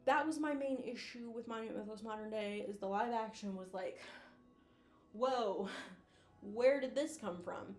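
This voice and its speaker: A woman's voice: a little high, moderate pace, roomy sound, soft background music. A woman giving casual, slangy and effusive video commentary, like an online host chatting to viewers.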